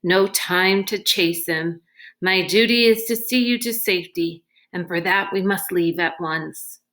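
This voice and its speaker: A woman voicing a calm, protective grown man in a children's storybook, with a measured, understated and reassuring manner. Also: lowish voice. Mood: resolute. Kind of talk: children's novel read-aloud.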